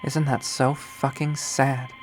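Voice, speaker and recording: A man's voice: medium pitch, flat delivery, slow pace, slight background noise, natural voice. A man voicing a jaded, unhinged young woman artist in a bitter, profane, rambling monologue from a visual novel. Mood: bleak, contemptuous. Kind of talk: dramatic visual novel readthrough.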